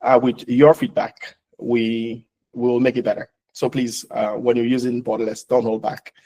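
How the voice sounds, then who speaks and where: A man, the company founder, giving an earnest launch speech and moderating a panel, halting often with fillers. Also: mid-range voice, level tone, dark tone, low-quality recording.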